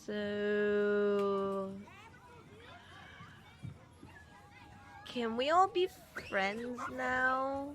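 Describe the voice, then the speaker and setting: A woman's voice: medium pitch, very slow, a bit bright. A woman voicing a cheeky, stubborn young boy with a blunt, childlike manner in an audio drama. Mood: hopeful.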